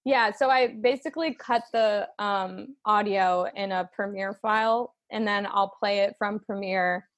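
A woman's voice: medium pitch, fairly even intonation, unhurried, hardly any pauses. An American-accented woman chatting casually as a comedian guest in an interview, informal and giggly.

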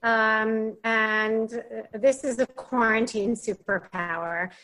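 A woman's voice: a little high, fairly even intonation, slow pace, sharp tone, rough recording. A woman on a panel as a tech executive, speaking with light humor. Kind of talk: panel discussion.

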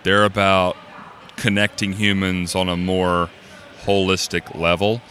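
A man in his thirties, a guest in a casual interview on a busy expo floor, with a low voice and an easygoing, joking way of talking. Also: flat delivery, a little slow, fairly bright tone, slight background noise. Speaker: guest, cookbook author.

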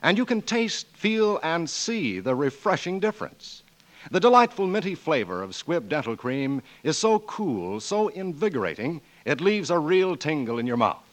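A man's voice: on the high side, big pitch swings, moderate pace. A man announcing on 1940s radio, reading scripted commercial copy in a formal, polished sales style.

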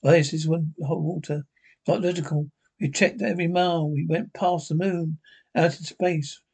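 A man playing a skeptical, commanding spaceship captain who sounds baffled and insistent, in a husky voice. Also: on the high side, steady tone, normal speed, darkish tone. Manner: questioning, insistent.

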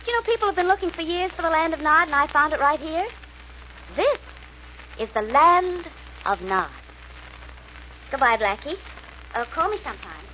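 A woman's voice: sits high, expressive, normal speed, long pauses, bright, forceful tone. A woman playing a captive young woman who puts on breezy contentment, in 1940s radio drama. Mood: feigned cheer. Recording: muffled, noisy old radio recording.

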